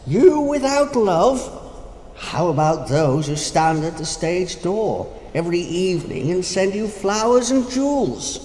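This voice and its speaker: Mocking Death figure, theatrical and condescending, widely swinging intonation, measured pace, morality play. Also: male voice, on the high side, a little mellow, full character voice. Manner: sardonic, declamatory. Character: Death, cynical mocking male spirit, worldly and condescending. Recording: echoing hall, faint noise.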